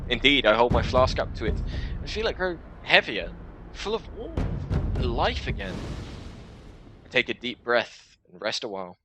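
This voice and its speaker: A man's voice: medium pitch, noisy background. A man reading a scripted first-person action story as a dramatic narration for a video game.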